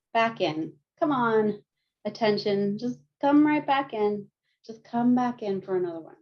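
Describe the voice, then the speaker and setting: A woman counselor leading a group through guided mindfulness and gentle yoga, speaking calmly with frequent light laughs, heard over a video call. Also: medium pitch. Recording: muffled lo-fi video call audio.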